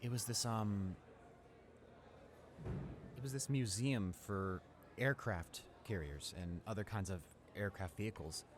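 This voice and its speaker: A man playing a friendly, awkward, talkative high school student in a casual audio roleplay, speaking in a hesitant, rambling, informal way. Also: measured pace, long pauses, background noise, lightly acted. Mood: chatty, enthusiastic.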